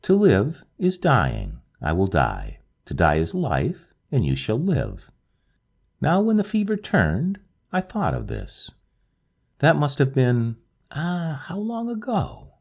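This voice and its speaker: A man reading a literary ghost story aloud, slow and hushed, lingering over each phrase. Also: wildly swooping pitch. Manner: slow, hushed and lingering, with wide swings of pitch. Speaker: audiobook narrator.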